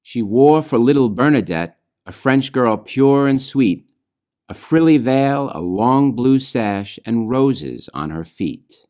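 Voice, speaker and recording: A man reads rhyming children's storybook verse as its author, in a measured, expressive way with phrase endings trailing softly. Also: medium pitch. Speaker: author reading own children's book. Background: music.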